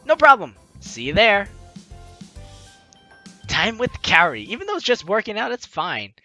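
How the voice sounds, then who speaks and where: Young guy doing a let's play, super animated and bright, chatty and playful, music behind him. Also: sits high, unhurried. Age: young adult. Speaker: let's player hosting a game series. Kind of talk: lively game commentary, reading dialogue aloud.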